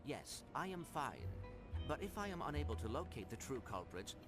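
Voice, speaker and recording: An actor playing an earnest, polite little robot secret agent who speaks formally and precisely in a video game. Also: male voice, medium pitch, sing-song, moderate pace, over music, natural voice. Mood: urgent. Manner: formal, precise, old-fashioned wording. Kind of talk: video game cutscene acting.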